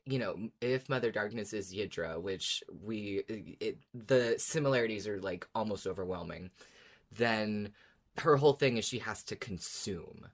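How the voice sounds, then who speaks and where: Casting: podcast co-host of unspecified sex, medium pitch, casual fan chat, enthusiastic, repeats phrases while thinking aloud. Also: measured pace. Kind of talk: casual podcast discussion of a fiction series.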